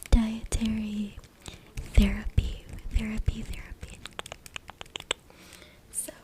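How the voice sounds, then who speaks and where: A woman reading aloud in a soft, hushed, deliberate ASMR whisper, close to a USB microphone. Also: on the high side, monotone. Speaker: ASMR creator reading from a book. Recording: noisy close USB mic with clicking. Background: noise, tapping and clicking sounds.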